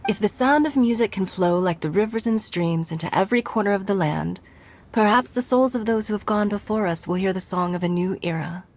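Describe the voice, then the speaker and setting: An actress voicing a composed, courteous woman who leads a city, speaking in a formal, elegant, measured way. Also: mid-range voice, darkish tone, lightly acted. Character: poised, courteous adult woman leader of a city. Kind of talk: video game voice acting.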